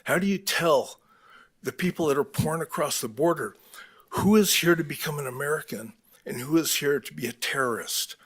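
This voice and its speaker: Man with a husky voice and a halting, candid delivery; speaks off the cuff at a council podium. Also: on the high side, fairly bright tone. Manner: halting and candid, with restarts and throat clearing. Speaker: public commenter. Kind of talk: public comment at a council meeting. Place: city council chamber.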